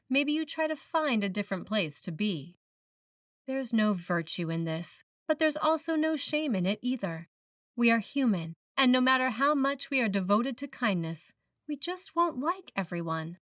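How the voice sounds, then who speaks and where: A woman preacher reading a written sermon in a measured, reflective manner, putting questions to the listener. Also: mid-range voice, fairly bright tone, no room sound, studio quality, distant mic. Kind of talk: sermon, read from a script.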